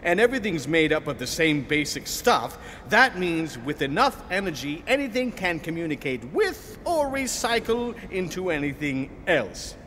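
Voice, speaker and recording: Guy doing snippy talking lightning, high voice all over the place, sarcastic n impatient, sci-fi audio comedy. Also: sing-song, moderate pace, few pauses, fairly bright tone. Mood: explaining impatiently. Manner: impatient, sarcastic, theatrical, wordy. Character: exasperated talking lightning, an otherworldly life force. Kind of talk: comic sci-fi audio theatre acting. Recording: long reverb, faint background noise.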